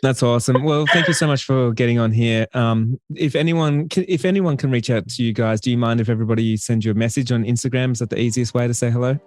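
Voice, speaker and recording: A man's voice: mid-range voice, fast, few pauses, soft background music. A man hosting a podcast, upbeat and laughing often. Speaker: podcast host. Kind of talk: casual chat.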